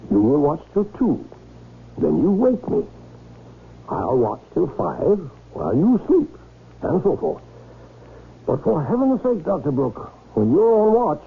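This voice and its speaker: A jittery secretive gentleman, formal, pitch all over the place, bit gravelly, old radio sound. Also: heavily acted. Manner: halting, formal, emphatic. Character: nervous, secretive gentleman with a scheming resolve. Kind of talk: old-time radio drama acting. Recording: lo-fi radio broadcast, faint noise.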